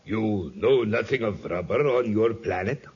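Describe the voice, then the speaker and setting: Very animated, husky plantation owner. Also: male voice, on the low side, a little slow, heavily acted. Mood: proud, obliging. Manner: boastful, eager. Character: proud, eager male rubber plantation owner. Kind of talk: 1950s radio adventure serial acting. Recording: muffled, raspy 1950s radio recording.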